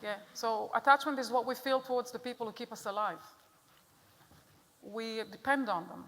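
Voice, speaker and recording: A middle-aged Israeli woman gives a public talk with an earnest, direct manner and often puts questions to her listeners. Her voice sits a little high for a woman. The sound carries a long reverb from a large hall. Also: normal speed, fairly bright tone, slight background noise. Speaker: speaker giving a talk.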